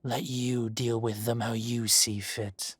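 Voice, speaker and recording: A smug, husky dragon crime boss. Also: male voice, mid-range voice, flat delivery, no room sound. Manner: slow, smug, teasing, drops to whispers. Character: smug dragon mafia boss, teasing and menacing. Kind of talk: audio roleplay acting.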